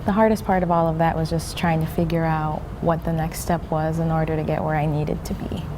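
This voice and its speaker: A woman's voice: sits low, level tone, a little fast. A young adult woman, a registered nurse, answering interview questions in an earnest, methodical way with some ums and restarts. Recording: noisy recording.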